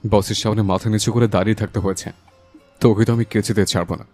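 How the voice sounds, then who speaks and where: A man's voice: on the low side, steady tone, fast, a little mellow, lightly acted. A man playing a passionate, protective young husband in a dramatised romantic audio story, speaking with emphatic feeling. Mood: furious, scolding. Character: hot-tempered young husband, fiercely protective, tender with his wife. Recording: voice over a music bed.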